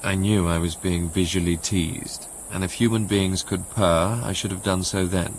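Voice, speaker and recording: An actor playing an educated, introspective Englishman narrates in a measured, literary way, with an English accent, in a radio drama. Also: low voice, fairly even intonation, a little hiss or hum. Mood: reflective. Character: educated Englishman recalling his romantic obsession, wistful and introspective.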